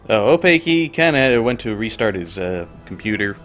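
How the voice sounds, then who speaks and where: A man's voice: lowish voice, very animated, normal speed, fairly bright tone. A man giving casual, offhand live commentary as he streams a video game. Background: game music.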